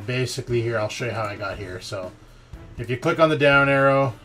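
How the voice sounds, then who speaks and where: Casual man giving a software tutorial. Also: mid-range voice. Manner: informal, slangy and mildly profane. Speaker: tech tutorial host. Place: indoor voice-over of a screen recording.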